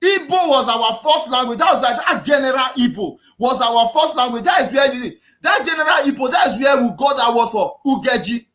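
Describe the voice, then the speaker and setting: A man with a Nigerian accent giving an impassioned political talk, repeating himself and often raising his voice to a shout. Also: very high voice, animated, brisk, hardly any pauses. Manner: preachy, repetitive, often shouting. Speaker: activist speaker.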